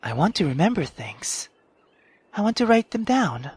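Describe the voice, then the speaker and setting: A woman voicing an earnest, thoughtful young girl with a gentle, measured manner in a visual novel read-aloud. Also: mid-range voice, sing-song, breathy edge, no echo.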